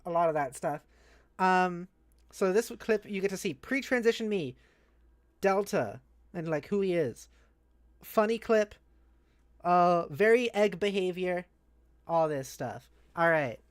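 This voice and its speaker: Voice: medium pitch, animated, a little slow, long pauses. A streamer host chatting casually and animatedly with viewers on a livestream. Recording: music playing behind the voice.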